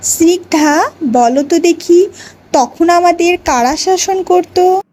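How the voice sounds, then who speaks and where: Woman voicing a slow, high-pitched cartoon schoolteacher. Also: dark tone. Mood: encouraging, questioning. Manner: deliberate, instructive, schoolmarmish. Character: female schoolteacher telling a history lesson to children. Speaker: teacher. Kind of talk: scripted narration and quizzing for an educational cartoon.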